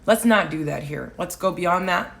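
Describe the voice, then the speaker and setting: A woman from Alaska, raised in Hawaii, hosting political commentary, talking loudly and emphatically and answering viewer comments. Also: fairly low pitch, moderate pace, vocal fry. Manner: loud, emphatic, rising to near shouting on key points.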